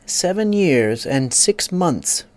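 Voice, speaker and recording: A man's voice: fairly high pitch, big pitch swings, voice pushed up. A man voicing a pompous, easily offended egg creature who lectures and takes offense. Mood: lecturing. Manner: haughty, quick to take offense, lecturing.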